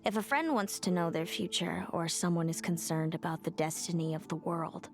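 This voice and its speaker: A woman's voice: on the low side, normal speed, music in the background, lightly acted. A woman playing a guarded, world-weary fae seer in a video game, cool, dry and ominous. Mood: grave, weary.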